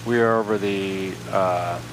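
A man hosting a flight simulator video, calmly talking through each step aloud as he flies from a home cockpit, speaking into an aviation headset. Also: low voice, measured pace, noisy background. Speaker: flight sim video host. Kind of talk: casual instructional narration while flying.

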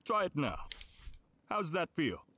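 A man's voice: fairly high pitch, big pitch swings, slow, pushed and bright, exaggerated voice. A man playing a brusque, good-humoured, folksy town blacksmith in a fantasy audio drama. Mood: jovial, salesmanlike. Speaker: voice actor.

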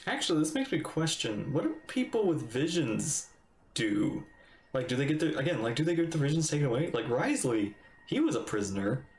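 A middle-aged man giving casual live reaction commentary on a game, musing aloud in halting phrases. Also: on the high side, sing-song, a little hiss or hum. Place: indoors at a desk, webcam stream. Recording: roomy echo, faint game music.

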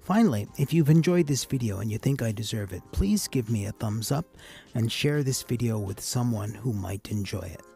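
Gravelly, husky man explaining things. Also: mid-range voice, animated, normal speed, mellow, soft background music. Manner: casual, addresses viewers as guys. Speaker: host of an amateur radio tutorial channel. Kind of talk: explanatory tutorial voice-over.

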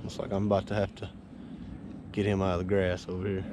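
A low-voiced man in his thirties, rambling easily to an action camera at a pond's edge. His voice sits on the deep side and carries faint outdoor noise behind it, with breaks to coach his boys. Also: monotone, quick pace. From Florida Panhandle, US. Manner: easygoing, rambling, coaching kids between asides. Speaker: fishing charter captain. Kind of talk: casual outdoor vlog talk. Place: outdoors by a neighborhood pond. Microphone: wearable action camera.

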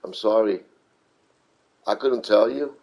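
A middle-aged man giving casual, unhurried live game commentary, repeating himself as he explains. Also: on the low side, creaky, darkish tone. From New York City, United States. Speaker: video game streamer.

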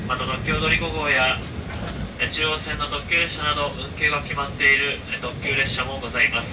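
Male conductor, formal and polite onboard announcements, heard from a distant train speaker through clear rumble. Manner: polite, formulaic phrasing. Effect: train PA loudspeaker. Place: inside a moving train, picked up from the cabin speaker. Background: train running noise.

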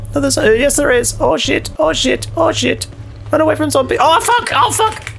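A man's voice: very high-pitched, animated, normal speed, creaky, a bit bright, soft background music. A young British man giving casual, playful gameplay commentary, sweary and often repeating phrases in a sing-song way. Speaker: let's play host.

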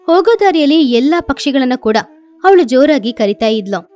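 A woman's voice: high voice, animated, normal speed, warm tone. A woman narrating a scripted children's story.

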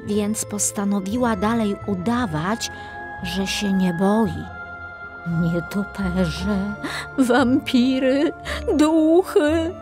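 A woman narrating a scripted children's story in an expressive, suspenseful way. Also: medium pitch, extremely slow, over music.

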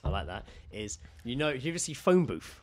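A man's voice: medium pitch, very animated, brisk. A man co-hosting a comedy podcast, riffing casually with jokes and swearing. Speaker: podcast co-host. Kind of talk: casual comic banter.

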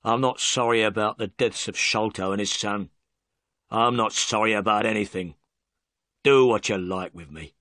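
A man reading a storybook, voicing a bitter middle-aged male prisoner who speaks slowly and low with resignation. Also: bright, forceful tone, dry recording, clean studio sound. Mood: resigned.

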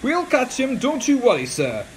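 A man's voice: very high voice, wildly swooping pitch, normal speed, slight background noise, exaggerated voice. A man playing an officious, chirpy police constable calling out at a railway station in a comic radio play.

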